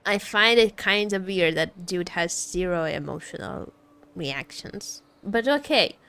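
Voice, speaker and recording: A woman's voice: fairly low pitch, animated, a little slow, fairly bright tone, smooth. A woman streaming as a VTuber, chatting casually about a game in a teasing, joking, giggly way. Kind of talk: casual livestream chat reacting to a game.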